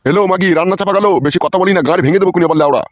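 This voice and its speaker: A man's voice: high-pitched, rapid-fire, dull audio, very noisy, natural voice. A man voicing a suspicious, hot-tempered old village husband in a comedy cartoon, ranting coarsely. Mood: aggrieved, bitter.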